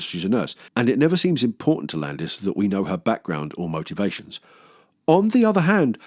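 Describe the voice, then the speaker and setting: British male film reviewer, wry and self-deprecating, fairly deep voice, very expressive pitch, dry close sound. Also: dry recording. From England. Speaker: film review video host. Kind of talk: humorous scripted film commentary.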